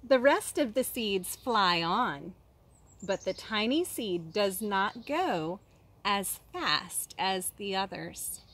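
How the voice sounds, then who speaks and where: A woman reading a children's picture book aloud like a kindergarten teacher, expressively, with dramatic pauses and questions for young listeners. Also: mid-range voice, lively intonation, a bit bright, a little hiss or hum.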